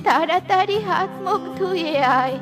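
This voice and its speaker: A woman voicing a gentle, worried old grandmother bird in a children's cartoon, tender in manner. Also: very high voice, moderate pace. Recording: music behind the voice.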